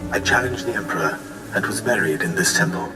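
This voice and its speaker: An actor playing a serene ancient Sith sage speaking as a hologram in a video game, measured and solemn, with an echoing effect. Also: male voice, lowish voice, lively intonation. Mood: calm, formal. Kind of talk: video game cutscene acting. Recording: echoing voice over game music.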